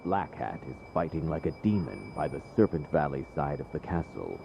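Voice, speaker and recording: An actor playing a grave warrior spy giving a report in a video game cutscene. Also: male voice, a bass voice, sing-song, moderate pace, vocal fry, noisy background, heavily acted.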